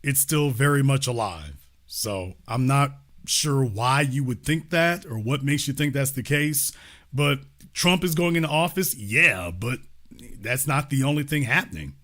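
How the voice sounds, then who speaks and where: A man's voice: mid-range voice, animated, a little slow, fairly bright tone. A man hosting a talk show who questions a caller bluntly and repeats his points. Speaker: host.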